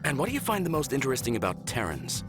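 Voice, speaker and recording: Friendly human crewman, visual novel game, easygoing, casual, lively intonation, clear music underneath. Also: mid-range voice, fast. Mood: curious, friendly. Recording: music clearly playing behind.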